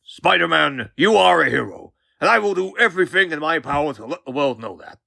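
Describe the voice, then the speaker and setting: Pompous older male press baron, comic dub, theatrical bluster, forceful gritty delivery, dry close sound. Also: a little high, lively intonation, brisk, vocal fry, dry recording, heavily acted. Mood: grudging, grandiose. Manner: bombastic, theatrical, grandiloquent. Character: pompous, blustering older male newspaperman turned podcast host. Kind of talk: comic book dub acting.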